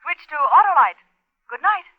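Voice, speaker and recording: A woman's voice: sits high, sing-song, normal speed. A woman delivering a scripted advertising tag on 1950s radio. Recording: tinny telephone-like 1950s radio recording.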